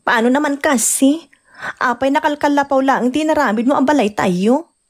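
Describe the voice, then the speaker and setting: High-voiced young wife played by a woman. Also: moderate pace, lightly acted. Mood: complaining. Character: young pregnant provincial wife, caring but complaining. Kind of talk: Ilocano radio drama acting.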